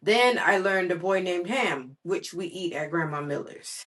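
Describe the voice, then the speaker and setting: A woman's voice: on the low side, animated, measured pace, fairly bright tone. A woman reading a children's story aloud expressively, with casual, patient asides to a child.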